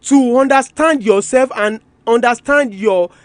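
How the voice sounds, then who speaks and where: A man's voice: very high voice, a little slow, dry recording. A man with a Nigerian Yoruba accent giving an educational radio talk, explaining didactically, repeating key terms and asking rhetorical questions as he switches between two languages. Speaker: radio presenter.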